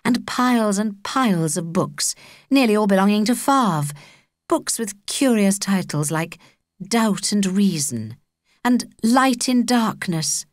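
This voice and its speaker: A woman with a British accent narrating a children's audiobook in an expressive, measured storytelling manner. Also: mid-range voice, no room sound, studio quality. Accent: British English.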